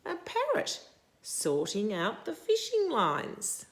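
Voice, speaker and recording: A woman in her sixties reads a picture book aloud to young children in an expressive, deliberate way, stopping to put questions to them. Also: on the high side. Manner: deliberate, animated, asking questions of young listeners. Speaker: storytime host reading a picture book to children. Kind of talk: expressive children's read-aloud with asides to the listener.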